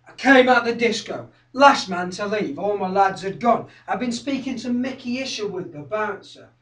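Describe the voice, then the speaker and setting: A man's voice: high voice, expressive, normal speed. An actor performing a theatrical monologue as an obsessive, confrontational young male skinhead who relives his violent past. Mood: haunted, confiding. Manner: obsessive, confrontational, repetitive, swinging from hushed to shouting. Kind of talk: theatrical dramatic monologue, direct address. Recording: roomy echo, distant microphone.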